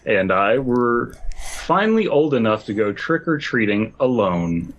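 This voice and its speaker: A man with an average-pitched voice co-hosts a casual podcast. He reads stories aloud and banters loosely with the group. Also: big pitch swings, unhurried, fairly bright tone, slight background noise. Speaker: podcast co-host reading a story aloud.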